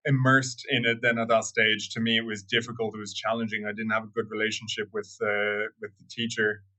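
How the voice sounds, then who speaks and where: Bright-toned Irish man, measured. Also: lowish voice, flat delivery. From Ireland. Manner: thoughtful, measured, with fillers. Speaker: guest. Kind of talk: interview answers.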